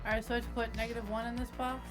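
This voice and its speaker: A woman's voice: fairly high pitch, level tone, rapid-fire. A woman talking casually as a player in a tabletop roleplaying game. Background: music.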